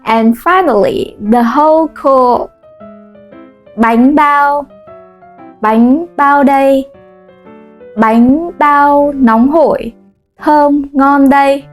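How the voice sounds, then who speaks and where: A woman with a Vietnamese accent, a native Vietnamese speaker, teaching a language lesson and modelling phrases slowly and clearly for repetition. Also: high voice, soft background music.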